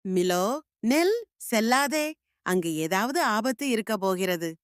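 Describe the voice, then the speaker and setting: A woman voicing a kind, curious young village girl in a cartoon, speaking gently and earnestly. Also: mid-range voice, animated, takes it slowly, clean tone, dry recording, clean studio sound.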